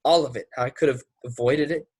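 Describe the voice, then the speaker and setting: A man playing a wounded, anguished young man confessing in halting fragments in an amateur play readthrough. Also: mid-range voice, steady tone, a little mellow, husky, no room sound, clean studio sound, lightly acted. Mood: accusing, hurt.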